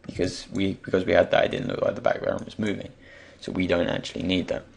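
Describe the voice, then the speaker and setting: A man with a croaky, just-woken voice gives an unscripted software tutorial, hesitantly thinking aloud as he goes. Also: deep voice, monotone, fast. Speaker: tutorial presenter. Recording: faint background noise, keyboard and mouse clicks.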